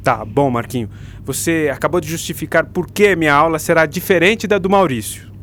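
A man's voice: on the high side, brisk, a bit bright, slight background noise, natural voice. A man playing a patient, engaging literature teacher in a Brazilian radio drama, coaxing and explaining as he goes. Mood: firm, earnest. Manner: didactic, coaxing. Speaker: actor.

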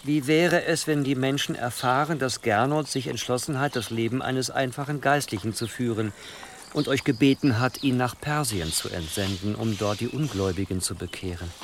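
A man's voice: mid-range voice, normal speed, slight background noise, close to an everyday voice. An actor playing a ruthless, scheming churchman who speaks with formal, calculating deference in a German historical radio drama. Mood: sly, persuasive. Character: scheming, obsequious, ruthless churchman advising his bishop.

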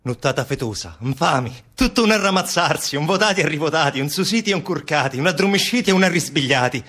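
A fairly high-voiced man with a Sicilian accent narrates a literary story. His delivery is dramatic and thick with dialect, moving widely up and down in pitch. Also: lively intonation, quick pace, fairly bright tone.

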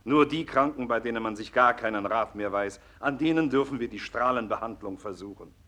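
Blunt, disillusioned professor, mid-pitched man acting. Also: brisk, bright, forceful tone, close to an everyday voice. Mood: bitter, resigned. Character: disillusioned, blunt senior radiology professor and mentor. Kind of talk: 1960s German radio play acting.

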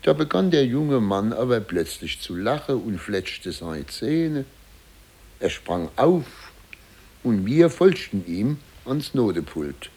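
An actor playing a middle-aged, self-important, flustered mayor, telling a story dramatically with hesitations in a 1950s radio play. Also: male voice, on the low side, big pitch swings, slow, darkish tone, slightly rough, exaggerated voice. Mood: suspenseful. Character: flustered, self-important small-town German mayor recounting a scandal.

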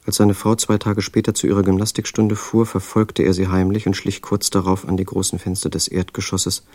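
An actor as a composed storyteller, delivering measured, suspenseful literary narration for an audio drama. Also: male voice, deep voice, completely flat delivery, darkish tone, dry recording. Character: composed male storyteller narrating a madman's life.

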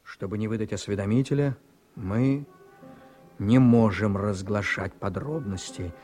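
An actor in a Russian radio play voicing an embattled, blustering politician who is desperate and scheming, speaking emphatically and commandingly. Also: male voice, on the low side, measured pace, darkish tone, soft background music, lightly acted. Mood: urgent, commanding.

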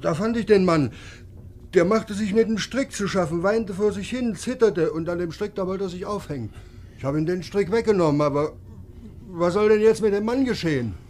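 A man plays a deferential sailor in a 1950s radio drama. His voice sits fairly high and he rambles as he explains himself. The old recording carries faint noise. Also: normal speed, darkish tone, lightly acted. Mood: nervous, deferential. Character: deferential, scavenging sailor reporting to his captain.

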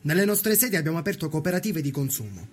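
An actor playing a proud, fervent young Sicilian workers' leader in a dramatised documentary, speaking in declarative, rhetorical phrases. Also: male voice, on the high side, very animated, fast, breathy edge.

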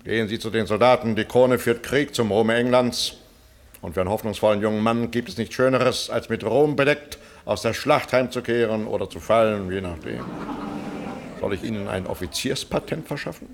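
An actor playing a pompous, grasping lawyer and parliamentarian in a German radio play, self-important and haggling. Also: male voice, lowish voice, normal speed. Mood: dismissive, haggling. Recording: 1970s radio drama with clear background noise.

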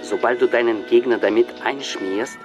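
A man's voice: medium pitch, moderate pace, music in the background, natural voice. An actor playing a man who presents a trapping gift in declarative, letter-like lines in a radio play. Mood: confident giving.

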